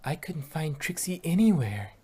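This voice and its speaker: A man voicing a worried, earnest, gentle female pony in a storybook reading, soft and anxious. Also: fairly high pitch, sing-song, moderate pace, breathy edge, heavily acted.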